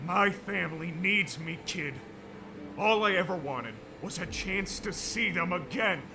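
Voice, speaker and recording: A man voicing a desperate middle-aged father who shouts and pleads frantically. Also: high-pitched, measured pace, creaky, background noise, voice pushed up.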